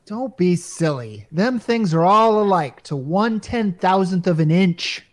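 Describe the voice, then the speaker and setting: Animated, slangy, exasperated salesman, acted out in a radio play. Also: medium pitch, a little slow, lightly acted. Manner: colloquial, slangy, wry sales patter. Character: brash, exasperated salesman named Caldwell, wisecracking working-class type. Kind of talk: hobby radio play acting.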